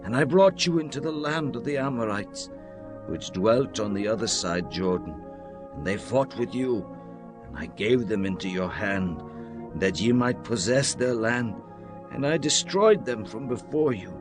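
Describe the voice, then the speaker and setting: A man performs an aged Hebrew leader addressing his people in a dramatized scripture reading. He speaks very slowly and solemnly, swelling with emphasis and dropping to grave hushed tones. Prominent music plays beneath the oratory. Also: lowish voice, lively intonation. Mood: solemn proclaiming. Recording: music under the voice throughout.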